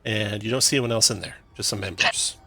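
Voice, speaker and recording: A man acting as game master, narrating a tabletop game in a relaxed, explaining way with fillers. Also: fairly low pitch, fast.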